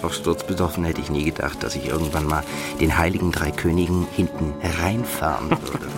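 An earthy, irreverent medieval rogue. His voice is extremely deep. Loud music runs behind him in this radio play. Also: fairly quick. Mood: amused, irreverent. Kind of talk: German radio play acting.

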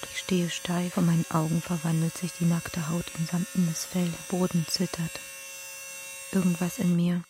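An actress playing a haunted woman in a literary radio drama monologue, speaking slowly and hushed, often in whispers. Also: fairly low pitch, natural voice. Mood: eerie, tense.

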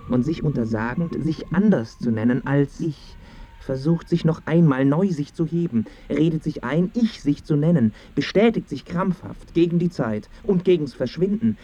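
Radio drama lead: adult man as brooding, stubborn, self-absorbed boy, mellow delivery, deliberate phrasing, noisy recording. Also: mid-range voice, highs cut off, natural voice. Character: Bruno, brooding, stubborn, precocious boy narrating his own life. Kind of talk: literary radio play monologue and dialogue.

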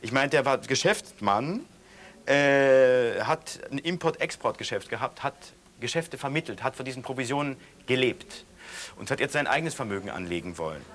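A man's voice: mid-range voice, animated, fairly bright tone, natural voice. Defensive, argumentative West German journalist played by a man. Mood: defensive, exasperated. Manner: halting, argumentative, self-justifying. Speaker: journalist being questioned by audience. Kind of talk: improvised, natural-sounding drama dialogue.

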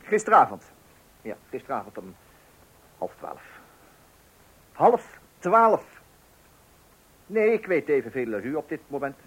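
A man's voice: medium pitch, big pitch swings, measured pace, long pauses, fairly bright tone, breathy edge, full character voice, rough recording. An actor in a radio play playing a flustered, weary father, hesitant, stammering and exasperated. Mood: harried. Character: flustered, weary father awaiting a birth at night.

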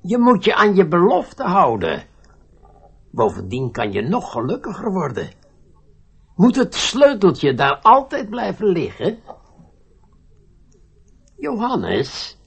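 Crafty nagging gnome, radio drama: rough, husky voice, highly animated, needling delivery. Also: male voice, heavily acted. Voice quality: creaky, rough. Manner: needling, reproachful, swooping. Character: crafty, nagging little gnome man, sharp-nosed. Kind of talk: radio play acting.

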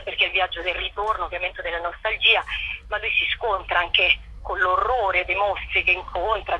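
Female phone-in guest, husky edge, forceful tone, animated and chatty explainer, telephone sound. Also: mid-range voice. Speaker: interview guest, theatre company leader. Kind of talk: conversational phone interview. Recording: telephone line on radio.

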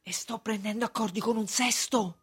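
A woman's voice: on the high side, fairly even intonation, normal speed, husky. A woman playing an anxious, submissive merchant who confides fretfully and insistently in a radio drama. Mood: confiding. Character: anxious, submissive merchant client obsessed with his trial. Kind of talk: stage acting in a radio drama.

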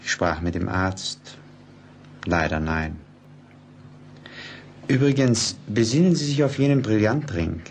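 Grave sober man in a radio play, really deep voice, measured, bit of noise on the recording. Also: expressive. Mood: sober, reporting. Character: grave man soberly reporting a mysterious death to a poet. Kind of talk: German radio play acting.

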